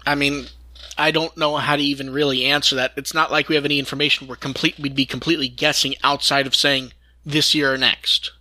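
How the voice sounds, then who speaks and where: A man's voice: mid-range voice, little pitch movement, a little fast, sharp tone. A man hosting a fan podcast, talking casually in informal discussion. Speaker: podcast host.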